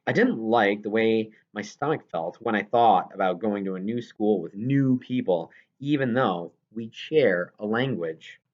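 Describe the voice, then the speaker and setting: A man's voice: lowish voice, measured pace, hardly any pauses. A man reading a first-person children's novel aloud as scripted narration, in a dull, muffled recording. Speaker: audiobook reader.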